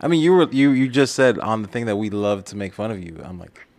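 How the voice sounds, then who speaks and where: Fast-talking Miami man cracking wise. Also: on the low side, animated, vocal fry, darkish tone. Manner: wisecracking, teasing. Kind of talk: casual chat.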